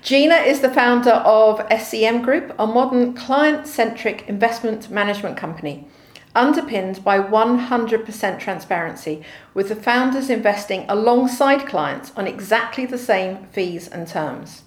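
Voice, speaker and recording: A woman's voice: on the high side, moderate pace, few pauses, echoey room. A woman hosting an interview show, reading a scripted introduction and reacting with effusive, enthusiastic interjections. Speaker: host.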